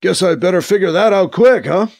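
A man's voice: high-pitched, expressive, a little fast, creaky, a little mellow, dry recording, clean studio sound, voice pushed up. A man voicing a dejected, distracted male character in a story, hesitant and trailing off. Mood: depressed.

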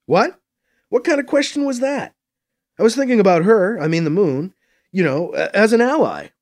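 A man's voice: high voice, lively intonation, moderate pace, darkish tone, pitched above the performer's own voice. A man voicing an earnest, easily flustered male council leader in an audiobook, protesting and insistent. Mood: flustered, indignant.

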